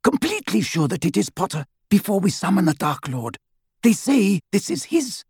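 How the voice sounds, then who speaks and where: A man's voice: sits high, very animated, normal speed, gravelly, darkish tone, slightly rough, no room sound, studio quality, pitched above the performer's own voice, full character voice. A man voicing an aristocratic woman with a cold, clipped manner. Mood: wary.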